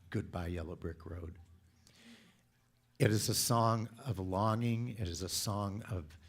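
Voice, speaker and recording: A deep-voiced man in his sixties from California talks to a congregation in a loose, playful way. He tells stories and turns to the audience for their answers. His tone sits low and a little husky. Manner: chatty, playful storyteller who asks the audience for input. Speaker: speaker at a spiritual center. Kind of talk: casual talk to a congregation.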